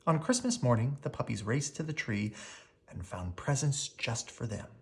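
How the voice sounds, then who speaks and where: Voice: medium pitch, expressive, moderate pace. A storyteller reading a children's picture book aloud expressively, drawing out key words.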